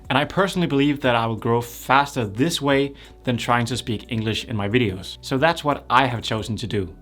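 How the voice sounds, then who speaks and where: A young man speaking English, his voice sitting at an ordinary middle pitch. He explains things plainly and step by step, like a creator running a how-to video for his channel. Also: a bit bright. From Denmark. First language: Danish. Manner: plain, step-by-step instructional delivery. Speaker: YouTube creator. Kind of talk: tutorial explainer.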